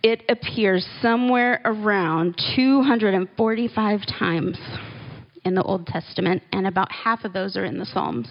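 A woman giving a relaxed, conversational Bible teaching, with small laughs and asides as she explains scripture. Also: medium pitch, normal speed, vocal fry, highs cut off. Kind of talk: relaxed church teaching talk.

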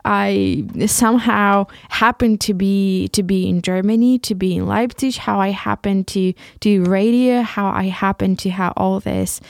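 A young Ukrainian woman with a Ukrainian accent, speaking English as a second language. She hosts a solo podcast and talks in a halting way, restarting and repeating words often. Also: mid-range voice, steady tone, vocal fry, dry recording. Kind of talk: casual solo podcast monologue.

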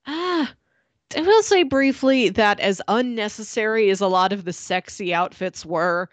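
A woman co-hosting a casual book discussion, speaking in a measured, plain-spoken way. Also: medium pitch, animated, no room sound. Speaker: podcast co-host.

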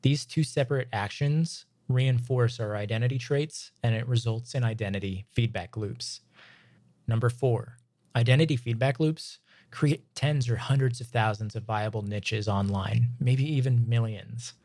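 A man presenting a scripted lesson in an informal, emphatic way, his voice slightly hoarse from long reading. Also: medium pitch, normal speed, darkish tone, no echo, studio quality. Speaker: podcast host and filmmaking instructor. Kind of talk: scripted lesson read aloud, conversational.

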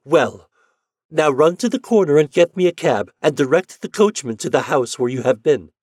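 A high, breathless, jealous young wife whose voice swoops up and down with worry, as a man puts her on. The sound is dry and close, with no room around it. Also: expressive, moderate pace, a little mellow, breathy edge, no room sound. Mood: agitated. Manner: high, breathless, emotional delivery.

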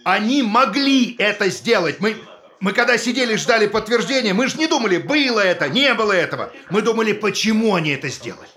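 An actor playing an agitated TV newsman and husband in a naturalistic stage drama, sarcastic, insistent and halting in his speech. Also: high voice, animated, sharp tone. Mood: furious, shouting. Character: agitated TV newsman, father and husband, scornful and defensive.

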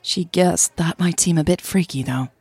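Husky-voiced woman narrating a story. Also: lowish voice, normal speed, darkish tone, no room sound. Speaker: narrator of an audio drama series.

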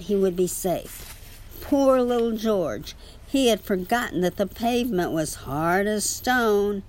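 A woman's voice: on the low side, a little mellow. A woman reading a children's story aloud to a child, with casual asides.

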